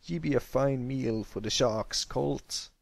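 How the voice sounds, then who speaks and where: A man's voice: medium pitch, slow pace, vocal fry. A man voicing a huge, wicked pirate captain pony in a storybook tale, with menacing pirate talk.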